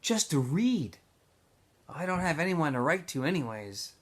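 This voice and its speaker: A man voicing a quiet, hesitant teenage boy in a storybook reading, speaking in short plain phrases. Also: on the high side, very animated, unhurried, pitched above the performer's own voice. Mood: shy, pleading.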